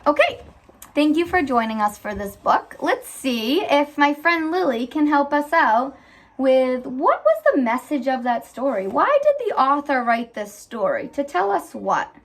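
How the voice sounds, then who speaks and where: High, lively woman reading a storybook slowly. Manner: animated, pauses to ask simple questions. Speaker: teacher reading a picture book aloud. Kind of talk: expressive children's read-aloud with questions to a child.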